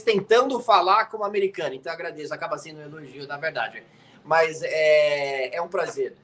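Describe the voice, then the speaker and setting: A man's voice: on the high side, slight background noise. A man who grew up in the United States, an NFL commentator chatting as a guest from his home studio, teasing and tagging his sentences with little questions. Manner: chatty and teasing, tags lines with "né?". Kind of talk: casual chat.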